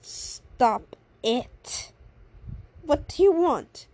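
Female storyteller as an easily startled, stubborn girl spy, high voice, exclamatory and informal. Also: expressive, very slow, darkish tone, no room sound, exaggerated voice. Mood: irritated. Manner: exclamatory, indignant, casual slang.

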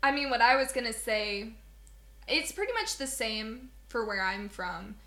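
Bright-voiced young woman chatting casually. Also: on the high side, expressive, unhurried. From Southwest Missouri. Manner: chatty, rambling, laughs often. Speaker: podcast co-host. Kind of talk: casual podcast chat.

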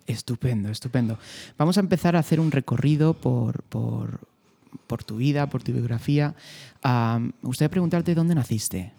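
A man from hosts a relaxed podcast interview. His voice is gravelly and dark. He asks his questions in an easygoing, unhurried way. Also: mid-range voice. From Spain. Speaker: podcast host. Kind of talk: casual interview chat.

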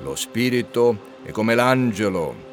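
An actor playing the bronze statue of a saint in an audio drama, solemn, sententious and easily irritated. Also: male voice, fairly low pitch, lively intonation, soft background music. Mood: solemn, preaching. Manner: slow, sententious pronouncements.

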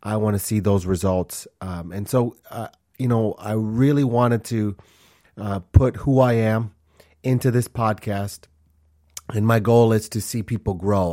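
A man's voice: lowish voice, a little mellow, no room sound, studio quality. A man in his forties, born in Honduras and raised in Minnesota, hosting his own podcast in an earnest, rambling way, full of fillers and restarts. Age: 40s. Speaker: podcast host. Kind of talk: casual solo podcast monologue.

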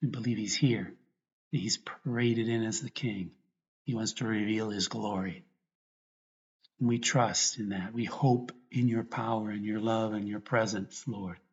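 A man, a priest, guiding a meditation and prayer in a reflective way, often pausing mid-thought. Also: on the low side, steady tone. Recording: dull, lo-fi recording.

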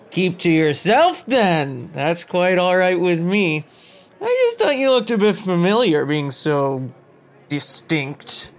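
An actor playing a jovial, drunken Confederate soldier who rambles in an over-friendly, chatty way. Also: male voice, sits high, very animated, takes it slowly, full character voice. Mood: friendly, curious.

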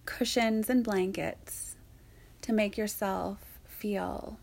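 A woman softly guiding a yoga nidra meditation in a soothing, breathy way, leaving long pauses. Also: medium pitch, fairly even intonation. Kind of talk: guided meditation narration.

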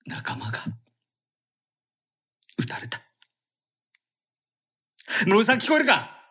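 A man voicing a shaken male police detective in a breathy, hushed tone. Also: high voice, huge pitch swings, takes it slowly, heavily acted. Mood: tense.

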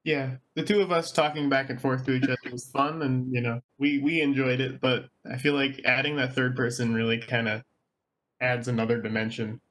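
A young American man co-hosting a podcast, talking in a relaxed, hesitant way with many fillers. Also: medium pitch, brisk, poor audio. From United States (born Virginia). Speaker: podcast co-host. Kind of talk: casual podcast chat.

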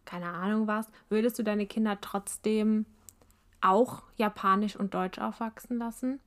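A woman's voice: medium pitch, fairly even intonation. A young woman interviewing a guest in casual talk, encouraging and often murmuring agreement. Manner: encouraging, quick to nod along. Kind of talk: casual conversation.

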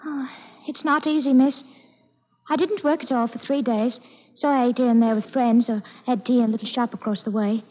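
A woman's voice: fairly high pitch, fairly even intonation, brisk, natural voice. An actress playing a plain-spoken, frightened young woman in a 1940s radio drama. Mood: fearful, meek. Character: young working-class woman, frightened, defiant, simple-hearted. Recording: dull old radio broadcast, long reverb.

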